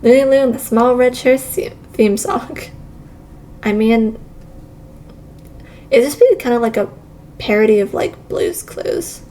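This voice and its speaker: A woman's voice: fairly high pitch, steady tone, unhurried, long pauses, mellow, slight background noise. A woman doing a casual livestream playthrough, reading game text aloud and adding informal, wry asides. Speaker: streamer playing and reacting to visual novels.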